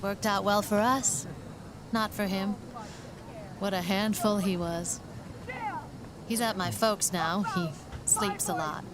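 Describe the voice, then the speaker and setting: Actress as a blunt, deadpan 1940s local woman. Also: medium pitch, slow pace, long pauses, noisy background, natural voice. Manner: deadpan, matter-of-fact, probing. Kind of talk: naturalistic period audio drama acting.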